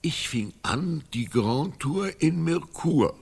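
An actor playing a blind, destitute old knight in a literary radio play, mournful and haughty, declaiming in clipped archaic phrases. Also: male voice, a little high, expressive, slow pace, vocal fry, husky, heavily acted.

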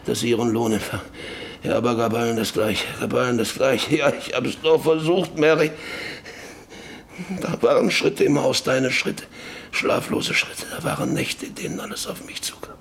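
An actor playing a weary, guilt-ridden middle-aged father in a radio drama, halting and heavy, often sinking toward a whisper. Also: medium pitch, fairly even intonation, a bit bright. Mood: tormented, remorseful. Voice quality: creaky, often breaking into whisper. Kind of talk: German radio drama acting, monologue and dialogue.

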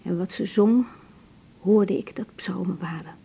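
An actress playing a compassionate, troubled young woman doctor in a radio drama, speaking in a hushed, hesitant, tender manner. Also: unhurried, vocal fry, breathy edge, exaggerated voice. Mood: solemn reciting. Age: young adult. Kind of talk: naturalistic 1980s radio drama. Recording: dull, muffled tape with faint rumble.

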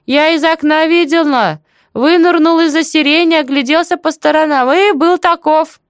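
A woman voicing a hard-of-hearing, good-natured, nosy older village neighbor who chatters loudly in an audiobook story. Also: high voice, moderate pace, no room sound, studio quality, pitched above the performer's own voice, natural voice. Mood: eager, loud. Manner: loud, exclaiming, matchmaking chatter.

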